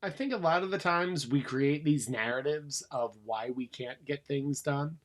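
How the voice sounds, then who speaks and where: A man's voice: medium pitch, a little slow. An American man speaking as a podcast guest and coach, candid and colloquial as he explains things. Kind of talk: casual conversational storytelling.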